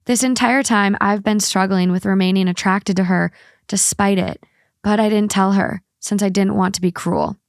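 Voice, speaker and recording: A woman's voice: mid-range voice, steady tone, moderate pace, no echo, clean studio sound. A woman hosting a podcast, chatting casually and reading stories aloud. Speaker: podcast host. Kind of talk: casual co-host chat and reading a story aloud.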